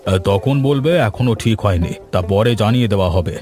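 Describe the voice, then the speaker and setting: A man voicing a village headman in a cartoon, giving instructions briskly with music behind him. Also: on the low side, lively intonation, a little mellow, lightly acted. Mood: commanding. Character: village headman, decisive older man in charge. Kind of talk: cartoon voice acting.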